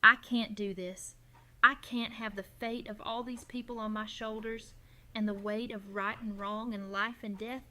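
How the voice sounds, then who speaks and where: A woman's voice: a little high, steady tone, a little slow, pushed and bright, a little hiss or hum. Woman acting an earnest leader. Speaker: voice actor as a community leader. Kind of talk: amateur audio drama acting.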